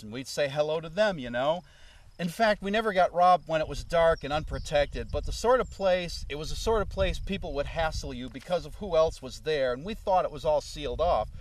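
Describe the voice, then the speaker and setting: A man with a slightly high voice spins a loose, rambling tale, dropping "you know" asides as he goes. He sounds a little off the mic, as if the recorder sits some way across the room. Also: no echo, distant mic. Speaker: former road manager recalling the past. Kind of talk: casual anecdotal storytelling.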